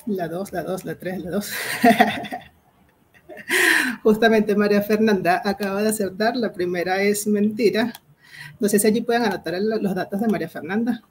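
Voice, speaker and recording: A woman's voice: medium pitch, normal speed, long pauses, a little hiss or hum. A woman from Venezuela with a Venezuelan accent, explaining agile practice in an online workshop over a video call, with frequent fillers. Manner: explanatory, addresses the audience directly, frequent fillers "este" and "eh". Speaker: agile coach presenting a workshop.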